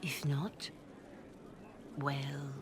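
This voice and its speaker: An actress playing a solemn, pious middle-aged cleric in a video game, speaking in a measured, earnest, formal and persuasive way. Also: female voice, rumbling low, flat delivery, heavily acted. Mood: earnest, concerned. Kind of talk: dramatic video game acting. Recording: clear background ambient noise.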